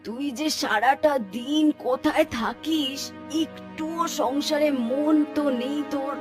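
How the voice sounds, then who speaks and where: A woman's voice: high-pitched, fairly even intonation, slow. A woman voicing a worried, nagging village mother in a cartoon, chiding and plaintive. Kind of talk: Bengali cartoon dialogue. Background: music.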